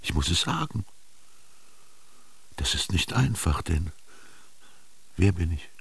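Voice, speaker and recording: An actor in a radio drama playing a melancholy, yearning ancient Egyptian man recalling his youth, speaking lyrically in a gravelly, husky voice with lingering pauses. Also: low-pitched, big pitch swings, fairly bright tone, heavily acted. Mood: aching, wistful. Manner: lyrical, brooding, with rhetorical questions and lingering pauses. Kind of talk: literary radio drama monologue.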